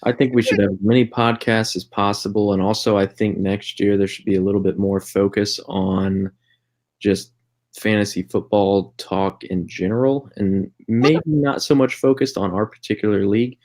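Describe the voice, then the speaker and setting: A man speaks in casual conversation as a podcast panelist. His voice is a little lower than average. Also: steady tone, moderate pace, darkish tone. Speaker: podcast panelist, league member. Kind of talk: casual chat.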